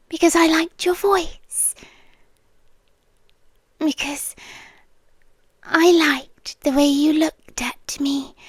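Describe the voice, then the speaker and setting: A woman's voice: high-pitched, dry recording, clean studio sound, heavily acted. A British woman playing a possessive, sweetly menacing landlady girlfriend in an intimate ASMR roleplay, coaxing and controlling, often dropping into whispers. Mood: tender, possessive.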